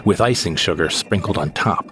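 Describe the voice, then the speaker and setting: A man's voice: low-pitched, normal speed, close to an everyday voice. A man playing a wistful, droll sidekick who narrates a scripted sci-fi comedy audio drama in the first person.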